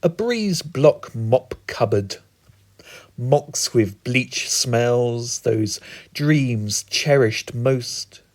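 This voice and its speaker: A British man reciting comic spoken-word poetry in a dramatic, whimsical, deliberate manner. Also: medium pitch, expressive. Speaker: performance poet.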